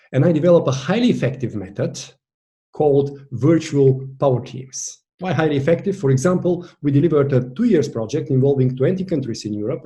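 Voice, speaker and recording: A man with a Bulgarian accent, a native Bulgarian speaker, presenting an online masterclass as a team coach in an earnest, deliberate way, leaning on key words. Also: medium pitch, warm tone.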